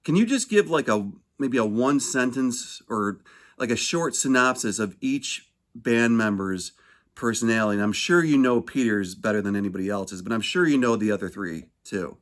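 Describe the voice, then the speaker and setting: A man's voice: mid-range voice, animated, moderate pace. A man conducting a casual phone interview, asking informal, rambling questions.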